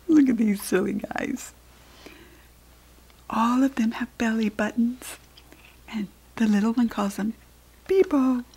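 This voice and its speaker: An American woman reading a picture book aloud to young children, expressive, with a breathy voice. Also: fairly high pitch, unhurried, long pauses, low-quality recording. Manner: expressive, addressing small children.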